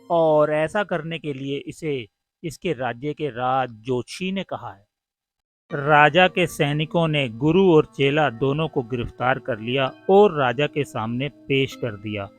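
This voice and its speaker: A man narrating a scripted cartoon story for children. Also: mid-range voice. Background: soft music.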